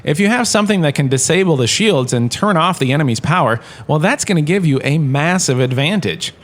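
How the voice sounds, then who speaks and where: Man playing a wisecracking lecturer, slightly high voice. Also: lively intonation, brisk, lightly acted. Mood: chatty, explanatory. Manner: chatty, jokey, showman-like salesman patter. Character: washed-up holodrama star turned wisecracking male university lecturer. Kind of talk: comedic scripted classroom lecture.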